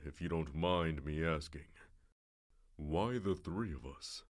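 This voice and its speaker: A man playing a calm, courteous, dutiful guard in an audio drama. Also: extremely low pitch, takes it slowly. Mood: polite.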